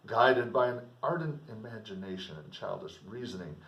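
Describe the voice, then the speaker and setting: A man reading classic literature aloud like a teacher, with informal explanatory asides and an expressive manner. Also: on the low side, moderate pace, lo-fi sound. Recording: roomy echo, distant microphone.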